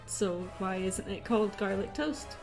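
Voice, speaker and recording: A woman's voice: mid-range voice, unhurried, vocal fry. Female livestream host, casual and playfully argumentative, mid-range voice with music behind. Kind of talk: casual chat, answering silly questions.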